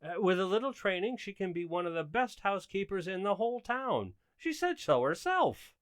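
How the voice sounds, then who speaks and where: A man's voice: high-pitched, sing-song, normal speed, fairly bright tone, clean tone, no echo, clean studio sound. A man playing a well-meaning, flustered suburban husband in a radio sitcom, hesitant, earnest and pleading. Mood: defensive, pleading. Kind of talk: old-time radio sitcom acting.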